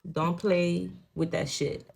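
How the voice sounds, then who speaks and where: A woman's voice: on the low side, fairly even intonation, slow pace, gravelly, darkish tone. A young woman with a Haitian Creole accent, a native Creole speaker, giving a casual tarot reading in blunt, profane talk full of "you know what I'm saying". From Haitian home. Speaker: tarot reader.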